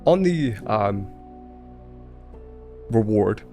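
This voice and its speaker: A man's voice: lowish voice, lively intonation, drawn-out words, darkish tone, faint music behind. A man interviewing a guest, asking thoughtful follow-up questions.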